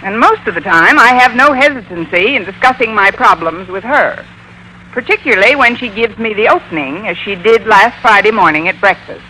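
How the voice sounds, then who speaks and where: Wry, bright-voiced schoolteacher. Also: female voice, mid-range voice, animated, a little fast. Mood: wry narrating. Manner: dry, wisecracking, crisp. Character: wry, sardonic adult female high school English teacher. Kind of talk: 1940s-50s radio comedy acting and narration. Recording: noisy old-time radio recording.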